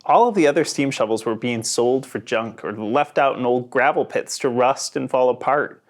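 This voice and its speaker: A man reading a children's picture book aloud in an expressive storytelling manner. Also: medium pitch, normal speed. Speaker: storybook reader.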